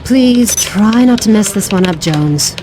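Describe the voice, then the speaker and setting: A woman's voice: medium pitch, animated, measured pace, very noisy, natural voice. A woman playing a businesslike, dry-witted mission controller briefing an agent in a video game cutscene. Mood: dry, teasing. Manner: businesslike, clipped, wry.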